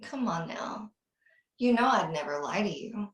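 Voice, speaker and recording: Woman acting a teasing, guarded housewife in slasher drama, really slow delivery, echoey call audio. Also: mid-range voice, animated, close to an everyday voice. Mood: flirtatious, teasing. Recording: roomy, echoey video call.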